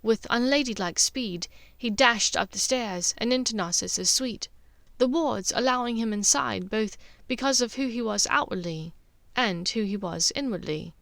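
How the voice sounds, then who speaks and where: A woman's voice: a little high, moderate pace, gravelly, a bit bright, no echo. A woman reading scripted fiction aloud as its narrator. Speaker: fan fiction author and narrator.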